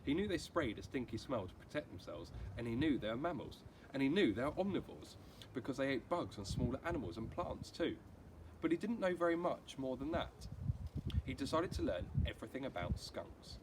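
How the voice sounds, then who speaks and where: A man reads a children's story aloud in the manner of a teacher. His mid-pitched voice moves up and down in a lively, expressive way, over clear background noise. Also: a little fast. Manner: expressive, acting out characters' dialogue. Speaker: teacher reading aloud to pupils. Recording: clearly noisy amateur recording.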